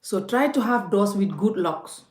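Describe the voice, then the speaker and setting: A woman's voice: mid-range voice, expressive, measured pace. A woman vlogging in a forthright, scolding way, speaking straight to the viewer as she makes her case. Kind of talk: impassioned vlog rant. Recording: echoey room.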